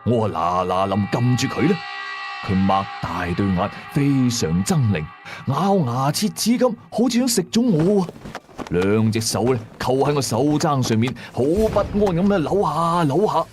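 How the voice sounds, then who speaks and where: Man, Hong Kong Cantonese accent, dramatic colloquial ghost story narration, animated mid-pitched voice, music bed. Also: normal speed.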